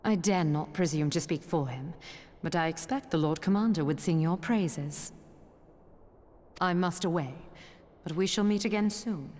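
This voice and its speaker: A woman performs a devout, noble lady knight in a fantasy video game. Her voice sits fairly low for a woman and carries solemn, archaic formality. It rings out in a long stone-hall reverb. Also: moderate pace, darkish tone, close to an everyday voice. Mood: solemn resolve. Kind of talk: scripted fantasy game cutscene acting.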